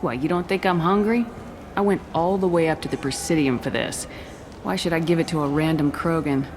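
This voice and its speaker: A woman's voice: low-pitched, brisk, noisy background, natural voice. A woman voicing a terse, direct starship commander in a video game. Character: steely female starship commander.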